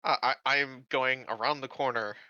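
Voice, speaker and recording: A man's voice: mid-range voice, fairly even intonation, normal speed, sharp tone, no echo, highs cut off. A man playing a tabletop roleplaying game, casually talking through his moves.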